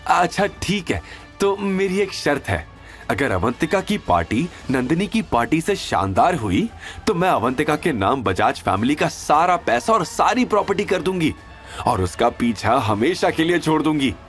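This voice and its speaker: A man puts on a scheming, wealthy older woman in an audio drama, raised in pitch and slightly hushed. She lays out her terms coldly and in full control. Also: very animated, normal speed, soft background music. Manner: bargaining, cold and controlled.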